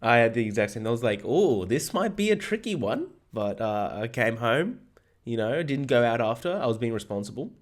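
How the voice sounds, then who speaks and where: An Australian man co-hosting a film podcast, energetic and jokey, swearing freely, with a hoarse voice. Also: mid-range voice, fairly quick. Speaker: podcast co-host. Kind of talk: casual film podcast chat.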